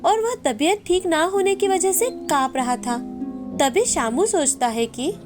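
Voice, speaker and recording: A woman's voice: very high voice, animated, unhurried, over music. A woman narrator reading a scripted moral tale in storytelling style.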